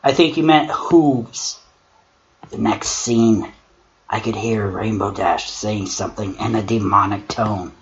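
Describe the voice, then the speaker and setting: A man voicing a wry god of struggle who reads a horror story dramatically and mocks it in a theatrical, sarcastic, deliberate way. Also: on the low side. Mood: sarcastic exasperation. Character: male god of struggle, Discord's brother, wry theatrical critic. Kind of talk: dramatic creepypasta reading with mocking commentary. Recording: dull lo-fi mic with clear noise.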